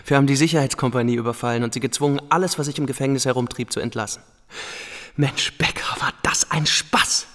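An actor playing a restless, excitable young war veteran, boastful and bitter, in a radio play. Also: male voice, medium pitch, quick pace, close to an everyday voice. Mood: excited, boastful. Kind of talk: German radio play acting. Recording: long hall reverb.

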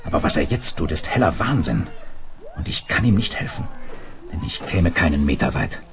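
An actor playing a sober, commanding space leader who tells his own adventure in a radio play, measured and authoritative. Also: rumbling low, sing-song, exaggerated voice. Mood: tense, resigned. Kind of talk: 1970s German radio play.

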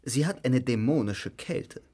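A man's voice: mid-range voice, expressive, a little slow, mellow. An actor playing a theatrical male stage actor and aesthete in a radio play. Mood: relishing.

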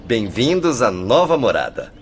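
A man's voice: medium pitch, sing-song, a little slow, a little hiss or hum. An actor playing a courteous, compassionate male attendant in a radio drama, with a Brazilian accent.

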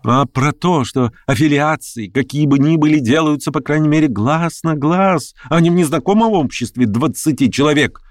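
A man's voice: medium pitch, animated, a little fast, hardly any pauses, no room sound, studio quality, pitched above the performer's own voice, natural voice. A man voicing a prickly, suspicious man in an audiobook, protesting and quibbling bluntly. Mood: challenging. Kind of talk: acted audiobook dialogue.

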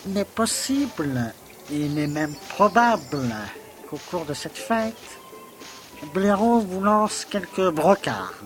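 Pompous mayor, drawn-out formal delivery, raised pitch, solemn, faint music, audio drama. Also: male voice, lively intonation, fairly bright tone, heavily acted. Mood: stern, instructing. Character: pompous, officious village mayor giving orders. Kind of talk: French audio drama acting.

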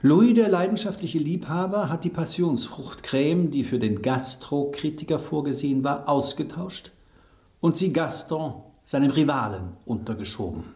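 A smug, teasing gentleman played by a male actor holds forth in a radio drama. His delivery is theatrical and highly animated, savouring every bit of suspense. The room rings slightly around him. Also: normal speed, reverberant, close to an everyday voice. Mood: triumphant. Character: smug, teasing gentleman narrating a culinary revenge intrigue.

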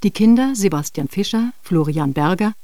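A woman's voice: mid-range voice, animated, normal speed, warm tone, dry recording, clean studio sound. A woman reading scripted credits as a radio announcer.

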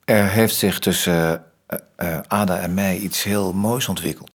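An actor playing an awkward, formal, erudite man in a radio play, hesitant and husky. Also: deep voice, fairly even intonation, measured pace. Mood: sheepish, formal.

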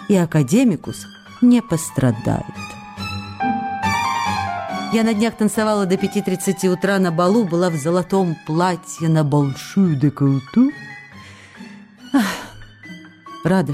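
Lowish mellow voice, tender teasing actress, wistful, intimate radio drama letter reading, music behind. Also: female voice. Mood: playful. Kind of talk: Russian radio drama, read-aloud letters.

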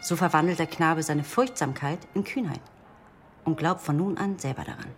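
Thoughtful young student: lowish voice, bookish storytelling delivery, radio drama, soft music underneath. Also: female voice, moderate pace. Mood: reciting calmly. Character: earnest, thoughtful young female psychology student.

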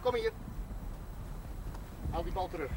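High-voiced, bossy village priest. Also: male voice, wildly swooping pitch, unhurried, heavily acted. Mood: stern, bossy. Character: feisty, good-humoured male village priest refereeing boys' football. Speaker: actor in a television drama. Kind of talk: naturalistic 1970s television drama acting. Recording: noisy outdoor 1970s television soundtrack.

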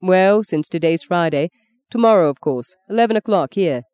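A woman reading a story, voicing a gentle, devout young man who speaks softly, sadly and steadily. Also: lowish voice, normal speed, dry recording, clean studio sound, lightly acted. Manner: soft, sad, steady.

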